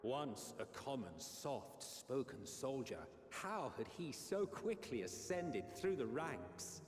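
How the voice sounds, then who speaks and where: Solemn chronicler in echoing reverb. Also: male voice, medium pitch, very animated. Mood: reflective, bitter. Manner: measured, solemn, literary. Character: solemn male chronicler recounting a bleak history. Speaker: narrator. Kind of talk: dramatic scripted narration. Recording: echoey hall reverb, music behind.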